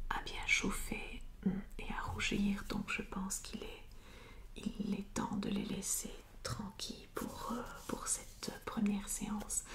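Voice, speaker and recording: Whispering woman in an ASMR roleplay. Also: mid-range voice. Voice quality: breathy, unvoiced whisper. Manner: soothing, hesitant, explanatory bedside talk. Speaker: ASMR roleplayer as chiropractor and osteopath.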